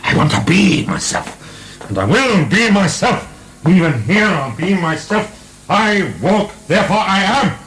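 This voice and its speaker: Proud, theatrical imprisoned marquis, swooping voice. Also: male voice, high-pitched, very animated, unhurried, fairly bright tone, exaggerated voice, rough recording. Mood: defiant, anguished. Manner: theatrical, declamatory, sardonic. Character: proud, defiant, mocking imprisoned French marquis. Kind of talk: radio drama acting.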